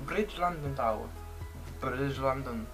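A man's voice: medium pitch, music in the background, natural voice. A young man voicing a lost, hesitant foreign tourist in a comedy sketch, repeating himself and searching for words. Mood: confused. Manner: halting, repetitive, searching for words.

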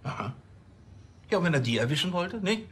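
An actor playing a pompous, self-important middle-aged police chief who hems and haltingly tags his sentences. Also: high voice, big pitch swings, fairly bright tone. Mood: officious. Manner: hemming, halting, ends phrases with "nicht?". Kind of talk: acting in TV crime comedy.

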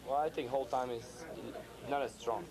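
A man's voice: mid-range voice, steady tone, normal speed, a crowd in the background, poor audio. A man in his thirties, a guest chef casually explaining steps in a cooking demonstration. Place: restaurant bar room, indoors.

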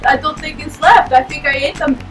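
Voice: high-pitched, fairly even intonation, fairly quick, far from the mic, natural voice. A performer voicing a dim, greedy, whiny little boy obsessed with candy in a comic fairy-tale sketch. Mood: gleeful, babbling. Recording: echoey, off-mic, music over voice.

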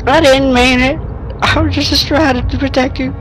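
A man voicing a timid, whiny male sack companion in a high, pleading voice through a headset mic. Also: fairly even intonation, normal speed, slight background noise, rough recording. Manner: panicky, squealing protests.

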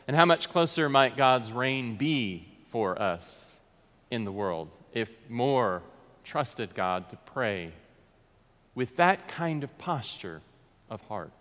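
Earnest American pastor preaching in a reverberant church. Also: male voice, medium pitch, expressive, slow pace, fairly bright tone. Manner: earnest and conversational, with frequent restarts and gestures. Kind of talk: sermon. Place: large church sanctuary. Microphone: pulpit mic, far camera.

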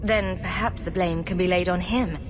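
An actress playing a diplomatic young heroine in a fantasy game, speaking in a composed, reasoning way. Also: female voice, lowish voice, fairly even intonation, normal speed, noisy background, lightly acted. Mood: calm, concerned. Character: calm, diplomatic heroine, young adult woman.

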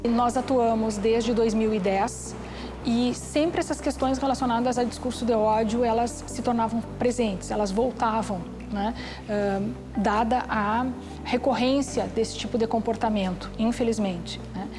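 A woman's voice: a little high, fairly even intonation, a little slow, rough recording. Brazilian woman explaining formally over music. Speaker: academic researcher. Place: indoors, small office. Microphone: lapel.

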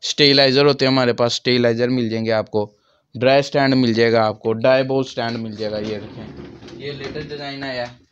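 A man's voice: mid-range voice, fairly even intonation, fairly quick, a bit bright, lo-fi sound, slight background noise. A man in his thirties with a Pakistani accent, a shop owner explaining products and prices in an interview. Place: small crowded furniture shop, indoors. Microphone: handheld microphone held by interviewer.

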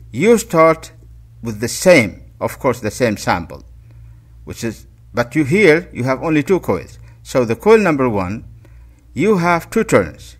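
A man narrating a hands-on tutorial as an instructor, going step by step, repeating instructions and checking in as he goes. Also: medium pitch. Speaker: DIY electronics instructor.

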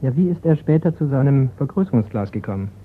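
A man playing a patient, persistent investigator who questions in a measured way in a German radio crime drama. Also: mid-range voice, lively intonation, lightly acted. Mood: patient, insistent. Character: patient, probing male investigator. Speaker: actor. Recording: muffled, slightly noisy radio play recording.

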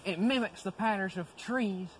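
A man's voice: high voice, moderate pace, poor audio. A young man hosting a casual, folksy instructional video, explaining things informally to the camera. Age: young adult. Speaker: host. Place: outdoors in woodland. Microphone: handheld camera a few metres away. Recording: outdoor ambient noise, camera mic.

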